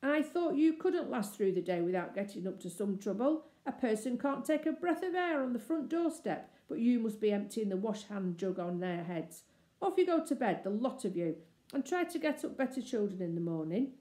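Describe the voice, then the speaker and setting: An indignant housemaid from a children's storybook, played by a woman reader. She scolds and threatens, her pitch lifted, in a room with clear echo. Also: sing-song, moderate pace. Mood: angry, scolding. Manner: sharp-tongued, indignant, threatening.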